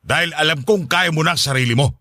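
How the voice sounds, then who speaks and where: Sharp, cold Filipino father. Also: on the high side, quick pace, no room sound, studio quality. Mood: cold, dismissive. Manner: dismissive, defensive. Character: cold, evasive, hard-hearted father who left his family. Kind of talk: Filipino radio drama acting.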